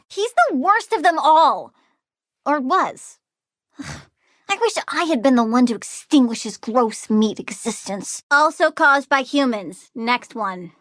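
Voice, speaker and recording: An actress with an American accent playing a bratty, curious young female plant-human supervillain in a video game, girlish, expressive, blunt and flippant. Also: high-pitched, reverberant. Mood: disgusted, petulant, then bossy, pointed. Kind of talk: animated video game voice acting.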